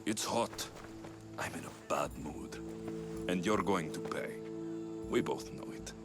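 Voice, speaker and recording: A man's voice: low-pitched, takes it slowly, loud music behind the voice. A man playing a tough young mercenary in an ancient Greek video game, dry and menacing. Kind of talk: dramatic video game acting.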